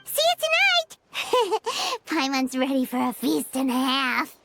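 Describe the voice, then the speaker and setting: A woman voicing a tiny, excitable, food-loving childlike fairy companion in a video game, with a squeaky, exuberant manner. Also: slow, creaky, over music, full character voice. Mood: excited. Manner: squeaky, exuberant, third-person self-reference. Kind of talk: animated video game voice acting.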